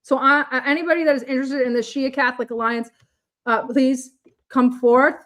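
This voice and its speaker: Woman, co-host, upbeat, chatty, fairly high voice. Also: steady tone, fairly quick, a bit bright. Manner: bubbly, enthusiastic, laughs often. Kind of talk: casual political chat.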